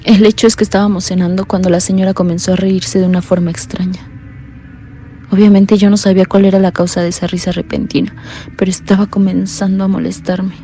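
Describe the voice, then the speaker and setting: A woman's voice: dark tone, close to an everyday voice. A woman playing an uneasy blind young caller. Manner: low, earnest, uneasy confiding. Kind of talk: radio drama acting.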